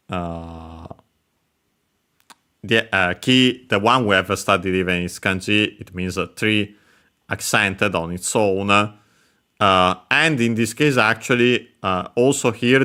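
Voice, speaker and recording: A man explaining Japanese grammar, pausing often with filler sounds as he works through each word. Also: on the low side, bright, forceful tone. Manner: hesitant, many filler sounds, careful explanation. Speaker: Japanese language teacher. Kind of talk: explaining grammar over gameplay.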